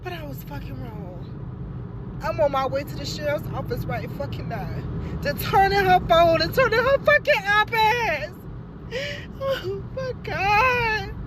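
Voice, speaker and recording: A woman's voice: very high voice, noisy background. A distraught mother talks while crying, swearing heavily as she drives to report what she found. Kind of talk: emotional vlog monologue.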